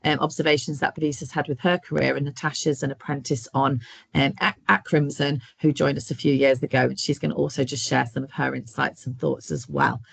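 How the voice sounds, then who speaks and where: A man's voice: medium pitch, flat delivery, brisk, gravelly, a bit bright, husky, no room sound. A man hosting a webinar over a video call, talking hesitantly with many restarts. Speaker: webinar host. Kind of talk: introducing a webinar.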